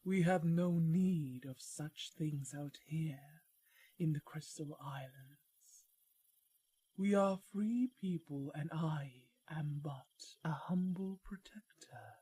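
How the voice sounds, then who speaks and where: A woman voicing a proud, gentle female dragon in a fantasy audio roleplay, with a soft, breathy voice and formal, poised speech. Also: sits low, takes it slowly, full character voice. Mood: modest. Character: proud, gentle female dragon, youthful-spirited island protector.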